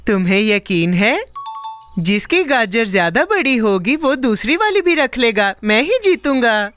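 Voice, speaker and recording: A woman voicing a mischievous, boastful, excitable young boy in a children's cartoon, with a playful, expressive delivery. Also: a little high, measured pace, soft background music. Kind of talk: Hindi children's cartoon dubbing.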